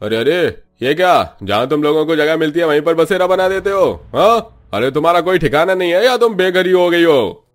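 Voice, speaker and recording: A man voicing a bullying cartoon constable, gruff and indignant, hectoring loudly. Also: a little high, lively intonation, brisk, natural voice. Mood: scolding.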